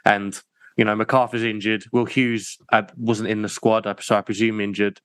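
A hesitant co-host who talks football while thinking aloud, with plenty of fillers. His slightly low voice sounds close and dry. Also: little pitch movement, moderate pace, a bit bright, clear, resonant tone, no echo. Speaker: podcast co-host. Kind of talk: casual football chat.

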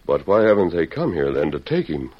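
A man's voice: sits low, sing-song, fairly quick. An actor playing a bold, courteous masked lawman in an old radio western, speaking with commanding earnestness. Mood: calm, earnest. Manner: commanding, earnest, clearly projected. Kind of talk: 1940s-50s radio western acting. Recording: muffled, noisy old radio broadcast.

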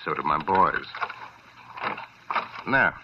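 A man's voice: rumbling low, sing-song, extremely slow, creaky, background noise, exaggerated voice. An actor playing a sly, insinuating nightclub owner in a 1940s radio drama, chuckling as he talks. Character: sly male nightclub owner, genial menace. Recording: dull old radio recording.

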